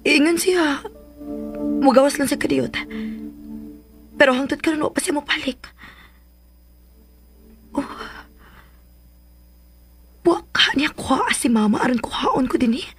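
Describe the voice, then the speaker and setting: An actress with a Cebuano accent playing a defiant runaway daughter, hushed and musing, in a radio drama. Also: female voice, high-pitched, lively intonation, measured pace, pauses often, over music. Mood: sulky, defiant. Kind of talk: Philippine radio drama acting.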